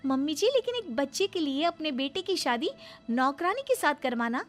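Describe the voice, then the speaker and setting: Young daughter-in-law played by a woman, melodrama story, indignant and pleading, distinctly high-pitched. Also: sing-song, normal speed, over music. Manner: plaintive, indignant. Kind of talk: Hindi family melodrama story acting.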